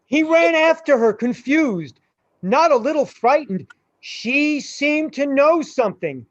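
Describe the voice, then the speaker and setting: Slow, high-voiced woman narrator. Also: animated, no echo. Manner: deliberate, broken into short phrases. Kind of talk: scripted radio play narration. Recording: dull, dry, lo-fi sound.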